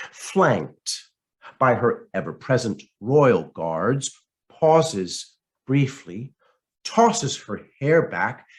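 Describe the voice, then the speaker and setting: A man reading a scripted essay aloud as narration, with a theatrical, emphatic delivery and deliberate pauses. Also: medium pitch. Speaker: reader of a political essay.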